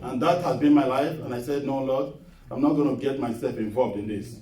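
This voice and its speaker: A middle-aged man preaching a sermon in a small church, earnest and measured, repeating his key phrases as he tells a personal testimony. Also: medium pitch, mellow, slight background noise. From Nigeria. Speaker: guest preacher. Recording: echoey hall, voice picked up from a distance.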